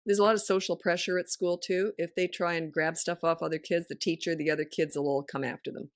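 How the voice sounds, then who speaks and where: A middle-aged woman with a fairly low voice gives frank, no-nonsense parenting advice in a casual podcast chat. Her delivery is blunt and direct. The recording is dry and close, with no room sound. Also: steady tone, quick pace, no room sound. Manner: blunt, no-nonsense, laughs often. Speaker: parenting coach and podcast host.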